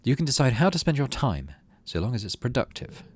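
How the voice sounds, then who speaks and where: A man voicing a practical, encouraging mother in a video game, in a measured, instructive manner. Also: medium pitch, big pitch swings, slightly rough, voice pushed up. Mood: encouraging, firm.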